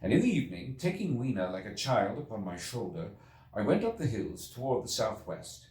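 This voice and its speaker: A man voicing an earnest Victorian gentleman time traveler recounting his ordeal in a storybook reading, formal, brooding and deliberate. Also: on the low side, very animated. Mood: reflective. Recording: echoey room, distant from mic.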